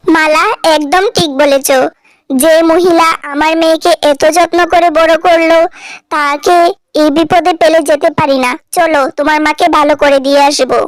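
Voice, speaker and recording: A woman's voice: high-pitched, steady tone, normal speed, no echo, close to an everyday voice. A woman voicing a protective, insistent snake-woman mother in a fairy-tale cartoon. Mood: approving.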